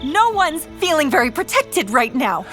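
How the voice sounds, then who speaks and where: A fierce, distraught mother in an audio drama. Her voice is very high and sharp, swinging widely with emotion. She is emphatic and demanding. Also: very animated, moderate pace, faint music behind. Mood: angry, demanding.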